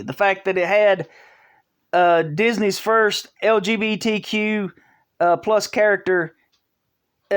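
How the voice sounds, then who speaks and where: A vlog host giving casual opinion commentary, talking in a halting way, restarting phrases and filling pauses, in an earnest, conversational tone. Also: medium pitch, fairly bright tone. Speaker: channel host.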